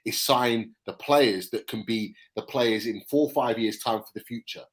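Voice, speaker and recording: A man's voice: lowish voice, moderate pace. A man co-hosting a fan forum, talking confidently and sharing strong opinions. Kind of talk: casual fan chat.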